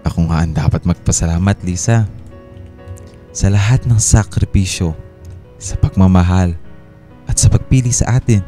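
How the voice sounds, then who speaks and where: Man acting deep, very mellow, remorseful husband. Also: moderate pace, pauses often, soft background music, natural voice. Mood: devoted, reassuring. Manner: soft, sincere, apologetic. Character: remorseful, devoted husband and father pleading forgiveness. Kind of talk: Filipino radio drama acting.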